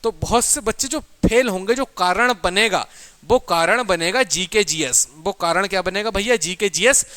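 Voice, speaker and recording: Male coaching teacher, high-pitched and forceful, repeats key points and poses questions he answers himself. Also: moderate pace. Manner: repetitive, asks questions and answers them himself. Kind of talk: informal exam strategy lesson.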